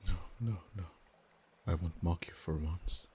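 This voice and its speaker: A man voicing a deep, ancient dragon. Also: a little hiss or hum. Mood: musing. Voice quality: very deep, soft-spoken. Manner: slow, measured, drops to whispers. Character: ancient male dragon, proud and possessive. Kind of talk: ASMR roleplay voice acting.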